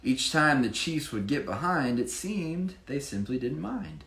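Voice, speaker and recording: A man reading his own children's picture book with expressive emphasis, pausing to explain it casually to a child. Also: a little high, creaky. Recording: echoey room with faint background noise.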